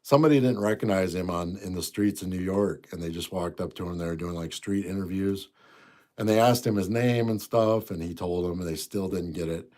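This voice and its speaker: Deep-voiced stuffy middle-aged man. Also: a little fast. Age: 50s. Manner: easygoing, rambling, trails off quietly. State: congested, stuffy nose. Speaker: livestream host. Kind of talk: casual livestream chat reading comments.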